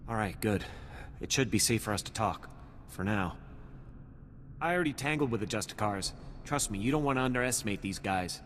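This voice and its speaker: An actor playing a nervous, earnest security agent in a video game, speaking haltingly and urgently. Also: male voice, fairly low pitch, lively intonation, a bit bright. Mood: nervous, furtive. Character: jittery, earnest male republic security agent, furtive and grateful. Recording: long reverb, clear background noise.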